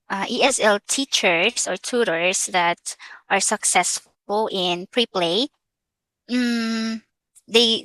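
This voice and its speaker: A Filipina woman with a Filipino accent talks slowly and hesitantly through an online tutorial, mixing English with Tagalog. Her voice is bright and forward, and it sounds close and dry, with no room around it. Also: medium pitch, dry recording, low-quality recording. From Philippines. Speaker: vlogger. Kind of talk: casual screen-share tutorial.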